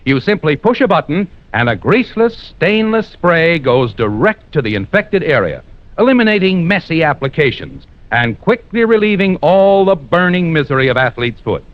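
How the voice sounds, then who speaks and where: A man's voice: on the high side, sing-song, normal speed. A man announcing in polished, emphatic 1950s radio style, reading scripted copy like a salesman. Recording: muffled old radio recording.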